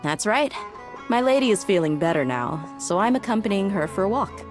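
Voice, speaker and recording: A woman's voice: medium pitch, lively intonation, fairly quick, natural voice. An actress playing a bold, adventurous female bodyguard in a video game, casual and self-assured. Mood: confident, teasing. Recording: faint music behind the voice.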